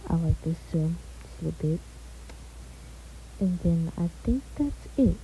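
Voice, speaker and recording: A woman's voice: on the low side, lively intonation, unhurried, warm tone, husky, rough recording. A young woman gives a laid-back, chatty tour of her house in a game, often trailing off with "so yeah.". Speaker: gaming vlogger. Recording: faint hiss.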